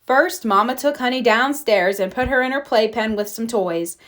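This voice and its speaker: A woman's voice: fairly high pitch, normal speed, a bit bright. A woman narrating a children's picture book as a storyteller reading aloud. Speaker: storybook reader.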